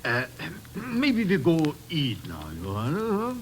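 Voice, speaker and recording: Radio play: bumbling Dutch skipper, broken English, hesitant, very animated pitch, reluctant to get involved. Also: male voice, unhurried, slight background noise. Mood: uneasy, reluctant. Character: bumbling Dutch cargo ship captain, reluctant and flustered. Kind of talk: old-time radio drama acting. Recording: vintage radio recording with music.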